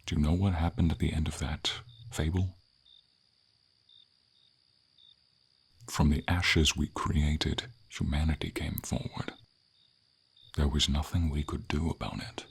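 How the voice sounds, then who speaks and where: Guy doing a wise old dragon for asmr fantasy, really low n gravelly, solemn but tender, whispery rasp. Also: steady tone, normal speed, full character voice. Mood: solemn, reminiscing. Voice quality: deep, breathy rasp, often dropping to a whisper. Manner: solemn, tender, formal storybook diction. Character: old friendly silver dragon, wistful protector of a knight. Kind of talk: ASMR fantasy roleplay, dramatic monologue.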